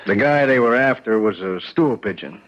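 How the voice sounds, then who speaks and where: An actor playing a weary, evasive police lieutenant who talks in a brusque, offhand way, in a 1970s radio drama. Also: male voice, lowish voice, expressive, normal speed, natural voice. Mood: placating. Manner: brusque, offhand, with many uhs. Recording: dull lo-fi 1970s radio recording.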